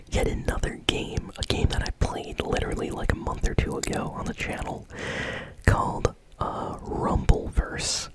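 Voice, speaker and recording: Whispering ASMR host needed: breathy, unvoiced, casual and conversational delivery of news commentary. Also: rough recording. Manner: casual, conversational, soft-spoken. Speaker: host of a gaming news show.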